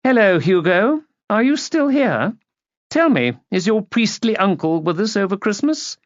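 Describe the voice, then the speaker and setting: A man's voice: high voice, expressive, measured pace, no echo, muffled recording, pitched above the performer's own voice. A man voicing a haughty, imperious upper-class woman in an audiobook, clipped, condescending and cutting. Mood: impatient, disdainful. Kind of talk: audiobook character voice.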